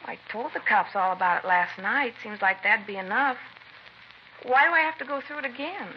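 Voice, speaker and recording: A woman's voice: a little high, expressive, normal speed, close to an everyday voice. A woman playing a brusque, casual American working woman questioned in a 1950s police radio drama. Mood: impatient. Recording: dull, hissy 1950s radio recording.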